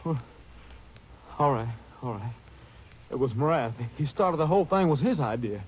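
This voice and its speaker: An actor playing a nervous, defensive cattle rustler, flustered and protesting, in a 1950s radio western. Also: male voice, medium pitch, lively intonation, moderate pace, husky, dull audio. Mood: giving in. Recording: noisy old radio recording.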